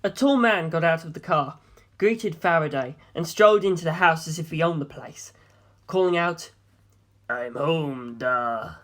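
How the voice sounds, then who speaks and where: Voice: medium pitch, normal speed. An audiobook narrator reading a scripted first-person story expressively. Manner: expressive, acting out dialogue.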